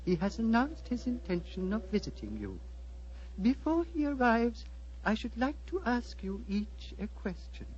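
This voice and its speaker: A man's voice: very high voice, sing-song, darkish tone, breathy edge, exaggerated voice. An actor playing a grave, courteous old Tibetan abbot with a formal, fatherly manner, in 1940s radio style. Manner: measured, formal, paternal. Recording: muffled, noisy old 1940s radio broadcast.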